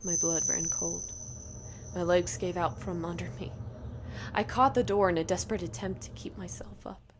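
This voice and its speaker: A woman reading a first-person horror story in a dramatic, emotive, breathy delivery. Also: fairly low pitch, fairly even intonation, normal speed, noisy background.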